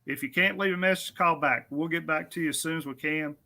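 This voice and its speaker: A man's voice: a little high, brisk, gravelly, sharp tone. A man, a guest talking about his racetrack in an easygoing, self-deprecating, friendly way. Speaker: drag strip guest. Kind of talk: casual on-air interview.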